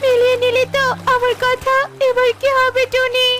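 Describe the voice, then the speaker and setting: A woman's voice: very high voice, monotone, moderate pace. A woman voicing a small, anxious, caring female bird in a children's cartoon, earnest and pleading. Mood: worried. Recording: music playing behind the voice.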